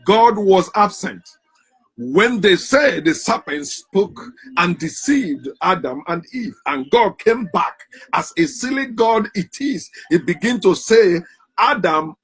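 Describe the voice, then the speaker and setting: A man's voice: sits high, sing-song, slow pace, fairly bright tone, slightly rough. A man giving an impassioned, emphatic monologue on religion, hammering home rhetorical questions. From Africa. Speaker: commentator on religion.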